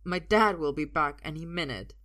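A woman's voice: low voice, moderate pace, a bit bright. A woman voicing a frightened young son with a shaky, pleading manner in a story. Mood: scared. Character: frightened young boy, son of a stern father.

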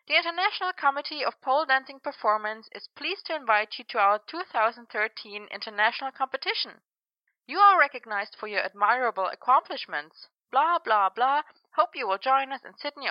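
A bubbly, flirtatious coach, as a woman reader puts her on, high and sing-song, with pitch that leaps all over. She teases in a soft, breathy lilt. The sound is dry and a little muffled. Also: animated, normal speed, dry recording. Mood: mock formal.

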